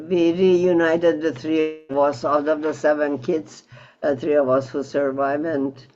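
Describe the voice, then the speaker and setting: An elderly woman recalling her life in an interview, halting and reflective as she searches for words over an online call. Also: deep voice, warm tone, dull audio. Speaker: Holocaust survivor interviewee. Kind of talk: personal recollection in an interview. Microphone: video call.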